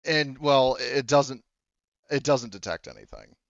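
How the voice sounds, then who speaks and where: A man running a tabletop roleplaying game as game master, improvising narration and explaining rules in a halting way, thinking aloud. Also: mid-range voice, expressive, no room sound, highs cut off.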